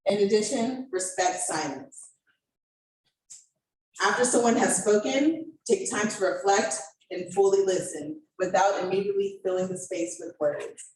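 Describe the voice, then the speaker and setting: A woman co-facilitating an event, presenting discussion guidelines to a group in a measured, deliberate way. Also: mid-range voice, darkish tone. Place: indoor event room with online participants. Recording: echoey room, distant mic, faint noise.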